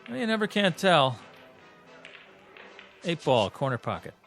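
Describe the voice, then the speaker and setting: Cocky, animated American pool shark. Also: male voice, on the high side, measured pace, vocal fry, faint music behind, heavily acted. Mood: sly, casual. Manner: boastful, intense, casual American slang. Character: cocky, obsessive pool shark, prickly and proud. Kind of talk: radio drama acting.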